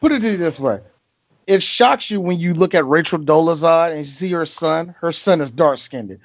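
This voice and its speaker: Animated, profane man on a lo-fi podcast. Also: fairly high pitch, moderate pace. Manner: animated, profane, laughs often, jumps into mock voices. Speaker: podcast co-host. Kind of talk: casual movie-review banter.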